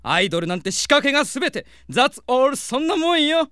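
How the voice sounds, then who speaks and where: A man's voice: very high-pitched, big pitch swings, moderate pace, bright, forceful tone, dry recording, studio quality, exaggerated voice. An actor playing a conceited, effeminate male hitmaker composer in a comic audio drama, theatrical and pompous, dropping English words into Japanese. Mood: scornful. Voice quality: camp, effeminate lilt.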